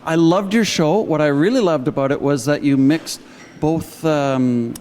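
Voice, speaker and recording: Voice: mid-range voice, unhurried, darkish tone, a little hiss or hum. Upbeat interviewer with a long echo. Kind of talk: casual interview chat.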